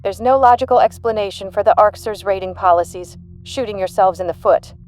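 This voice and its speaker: A woman's voice: medium pitch, fairly quick, darkish tone, faint music behind, natural voice. A woman playing a shrewd, unflappable human intelligence general in an audio drama, composed, dry and authoritative. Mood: cool, assured.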